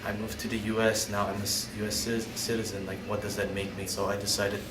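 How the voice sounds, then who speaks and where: A young man from Indonesia talks through his life story in a hesitant, searching way, stopping and starting as he finds his words. His voice sits a little low and reaches the mic from some distance in an echoey room. A steady background hum runs under everything he says. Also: fast talker. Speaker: interviewee. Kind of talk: personal storytelling interview. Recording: roomy, distant mic, ambient noise.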